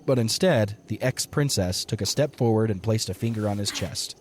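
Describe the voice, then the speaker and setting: A man's voice: on the low side, moderate pace, a little mellow, slight background noise. A man reading scripted story narration for an audio drama.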